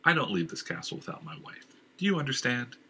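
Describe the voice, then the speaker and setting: A man's voice: medium pitch, sing-song, fast, pushed and bright, slight background noise, voice pushed up. A man playing a proud, commanding king. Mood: firm. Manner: firm, commanding delivery. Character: proud stubborn male king, firm and commanding.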